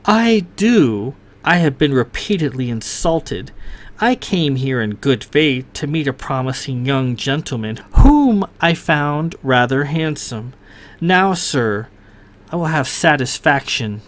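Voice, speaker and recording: A man's voice: mid-range voice, very animated, slow. A man voicing a proud, cold young noblewoman who speaks in a formal, stern, clipped way.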